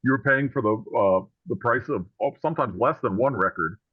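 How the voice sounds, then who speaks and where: Fast-talking man on a phone-like line. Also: mid-range voice, breathy edge, dry recording. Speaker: author interviewed as guest. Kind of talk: casual interview chat. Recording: phone-like thin call audio.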